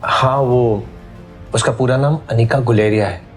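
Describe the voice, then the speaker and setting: Male actor as earnest respectful man, average pitch, audio drama dialogue, light music bed. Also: steady tone, a little slow, natural voice. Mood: matter-of-fact. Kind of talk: Hindi audio drama acting.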